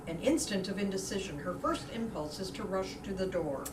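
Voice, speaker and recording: A woman's voice: mid-range voice, lively intonation, brisk. A woman reading stage directions as a scripted narrator for reader's theater. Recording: roomy, distant mic, clearly noisy.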